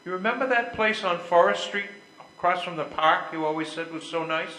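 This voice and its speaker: A bright, forceful voice carries through an echoey room. It is an older man acting an earnest, self-important husband in an amateur stage play, a little high-pitched and declaiming his lines as he tries to make peace. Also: brisk. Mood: earnest, pleading. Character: earnest, self-important but conciliatory husband, a factory vice president. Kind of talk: readers theater stage play. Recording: echoey room with clear background noise.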